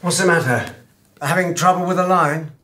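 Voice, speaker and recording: A man's voice: a little high, normal speed, bright, forceful tone, slight background noise. A man playing a harried middle-aged radio producer, flippant, sarcastic and evasive. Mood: placating. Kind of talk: naturalistic screen comedy-drama acting. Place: small office.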